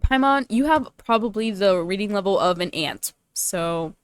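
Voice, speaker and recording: A woman's voice: mid-range voice, animated, normal speed, smooth. A young woman doing casual, slangy game commentary with a playful, teasing manner. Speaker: streamer playing a story game. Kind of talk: casual game commentary, with dialogue read aloud.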